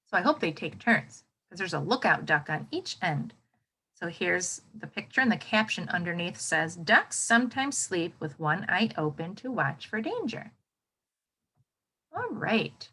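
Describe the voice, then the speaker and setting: A woman's voice: lowish voice, sing-song, measured pace, bright, forceful tone, husky. A woman with an American accent reading aloud to children as a teacher, exclaiming and putting questions to her young listeners. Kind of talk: children's read-aloud lesson with commentary.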